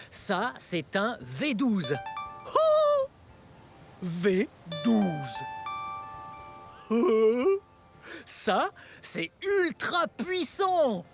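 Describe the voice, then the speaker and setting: Excitable children's show host, hugely animated intonation, very unhurried pace, playful, exclamatory, simple teaching. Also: medium pitch, heavily acted. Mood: amazed, excited. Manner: exaggerated wonder, simple explanations, frequent giggles and gasps. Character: excitable, wide-eyed, cheerful children's show presenter, playful adult. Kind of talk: exclamatory educational presenting for young children. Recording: music behind the voice.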